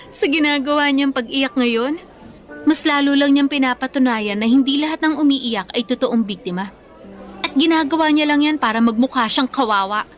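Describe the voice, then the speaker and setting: A woman's voice: high voice, lively intonation, normal speed, soft background music. A woman playing a wronged young female student with a Filipino accent in a radio drama, vengeful, defiant and taunting. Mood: mocking. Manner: taunting, confrontational, emphatic.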